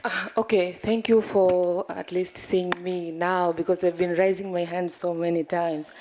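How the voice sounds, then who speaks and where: A woman's voice: on the low side, little pitch movement, a little slow, darkish tone, slight background noise. A woman from Tanzania, a civil society delegate, speaking earnestly from the floor of a large conference hall, pleading and restarting as she thinks aloud. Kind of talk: impassioned comment from the floor.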